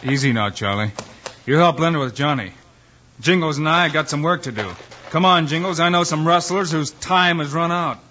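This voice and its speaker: An actor playing a steady, confident western lawman with an American accent in a 1950s radio drama. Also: fairly high pitch, normal speed, a little hiss or hum. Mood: firm. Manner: plain, decisive, commanding. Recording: muffled old radio recording.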